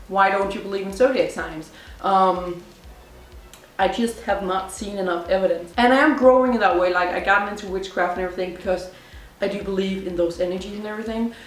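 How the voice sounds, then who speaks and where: A woman's voice: medium pitch, recorded from a distance. Chatty young woman in an echoey room. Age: 20s. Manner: chatty, rambling, with many fillers and self-corrections. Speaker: vlogger. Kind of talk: casual chat. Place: indoors at home.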